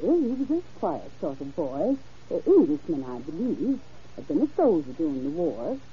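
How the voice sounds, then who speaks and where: A woman's voice: low-pitched, big pitch swings, moderate pace. A helpful working woman in an old radio crime drama. Her voice swings up and down as she hesitates and searches her memory. The recording is dull and noisy. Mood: hesitant, helpful. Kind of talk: 1940s-50s radio crime drama acting.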